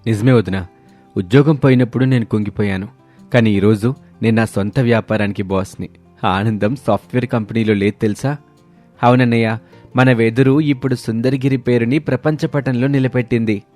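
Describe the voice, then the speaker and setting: A man's voice: mid-range voice, fairly even intonation, fairly quick, darkish tone, faint music behind, close to an everyday voice. Man playing an enterprising, supportive family man. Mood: satisfied. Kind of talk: moral story character acting.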